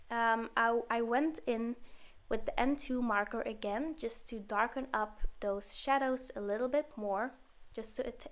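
A woman narrating a card-making tutorial in a relaxed, step-by-step way, with a slightly sore throat from a cold. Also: a little high. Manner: relaxed step-by-step explaining with fillers. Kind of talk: voice-over craft tutorial.

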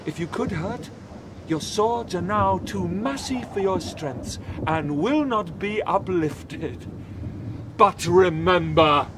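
A vengeful spirit of the air, acted by a man, proclaims doom in Shakespearean verse. The voice is high and deliberate, set against clear outdoor noise. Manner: slow, menacing proclamation. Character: vengeful airy spirit posing as a harpy. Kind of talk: Shakespearean verse acting in an audio play.

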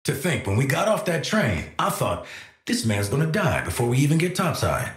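A man's voice: medium pitch, wildly swooping pitch, normal speed, roomy sound. An actor with an American accent playing a cocky, world-weary assassin antihero in a video game, wry, blunt and streetwise in his delivery. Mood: wry, teasing. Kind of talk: scripted video game voice lines.

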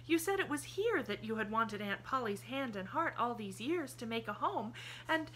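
A woman voicing an eager, cheerful little girl in an audiobook, bright, breathless and guileless. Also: on the high side, expressive, normal speed, slight background noise, voice pushed up. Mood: innocent. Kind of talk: audiobook character voice.

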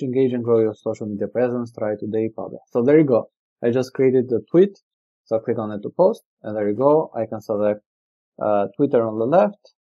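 A man whose native language is Albanian gives a relaxed screen-recorded software demo, thinking aloud with frequent fillers. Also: medium pitch, highs cut off. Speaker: software company presenter. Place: indoor room, webcam corner.

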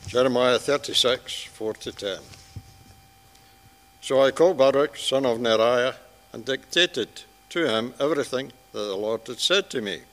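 A man reading Bible scripture aloud to a church service in measured, separate phrases. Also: medium pitch, a bit bright. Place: large church hall. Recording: long church hall reverb.

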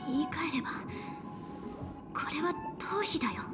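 An actress playing a gentle but resolute young woman in a video game, with soft, breathy phrasing and quiet conviction. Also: high-pitched, normal speed, natural voice. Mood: quietly resolute. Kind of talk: Japanese video game voice acting. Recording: music under the voice.

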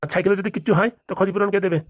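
Female voice actor as a grumbling, distrustful old grandfather in a comedy cartoon; quick, low, dry. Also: fairly even intonation, no room sound, close to an everyday voice. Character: grumpy suspicious old village grandfather. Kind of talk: comic cartoon voice acting.

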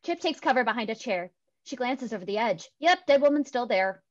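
A woman's voice: on the high side, lively intonation, fairly quick, darkish tone. A young woman reading stage directions as scripted narration through a headset on a video call. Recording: dull, lo-fi video-call audio.

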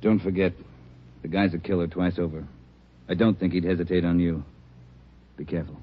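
An American man playing a brisk, commanding police captain giving orders in a 1950s radio drama. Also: sits low, steady tone, lightly acted. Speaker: police superior. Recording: muffled old radio recording, faint noise.

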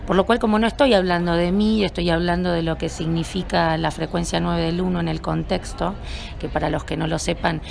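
A woman from Argentina answering interview questions at an event, speaking in a measured, self-observing and wordy way. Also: on the low side, fairly even intonation, vocal fry, a little mellow. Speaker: event attendee being interviewed.

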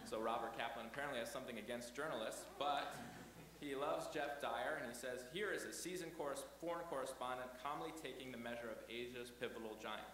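A man's voice: mid-range voice, fairly even intonation, a little fast, fairly bright tone. Young man reading an introduction in an echoey hall. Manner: reads from notes, small slips. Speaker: event host introducing the speaker. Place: lecture hall. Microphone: podium microphone. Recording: echoey hall with faint hum.

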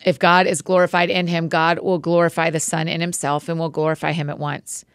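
A woman reading as a calm, solemn male teacher. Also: deep voice, a little fast, smooth, dry recording, clean studio sound.

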